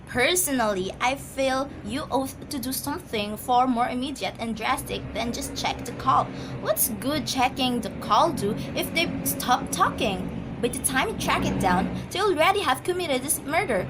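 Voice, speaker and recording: Woman playing a high-pitched, fretful invalid wife. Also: lively intonation, normal speed, hardly any pauses. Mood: anxious insistence. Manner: agitated, insistent, dramatic. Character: anxious, demanding bedridden wife, fretful and imperious. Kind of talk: amateur radio drama acting. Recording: noisy amateur home recording.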